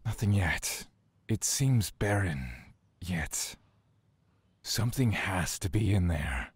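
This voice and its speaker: An actor playing a stern, dutiful god of death in a fantasy audio drama, speaking in a stiff, curt, commanding manner. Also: male voice, low-pitched, animated, slow pace, slightly rough, dry recording, full character voice. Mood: cold, impatient.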